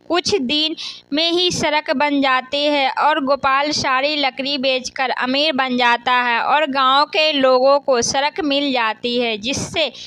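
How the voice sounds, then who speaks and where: A woman's voice: high voice, pushed and bright. A woman narrating a scripted children's moral story in a simple read-aloud way, with occasional stumbles.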